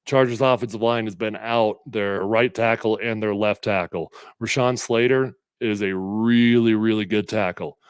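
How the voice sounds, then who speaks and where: A middle-aged man hosting casual sports talk, a little congested, energetic and emphatic as he reads comments and makes predictions. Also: on the low side, moderate pace, hardly any pauses, clear, resonant tone, dry recording, clean studio sound. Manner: energetic, conversational, emphatic with fillers. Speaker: sports radio host previewing a game.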